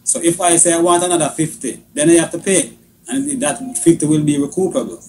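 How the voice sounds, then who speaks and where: A man's voice: fairly high pitch, very animated, fairly quick, creaky, darkish tone, a little hiss or hum, poor audio. A man with a Caribbean accent arguing on a radio panel in an insistent, questioning manner. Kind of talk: argumentative radio discussion.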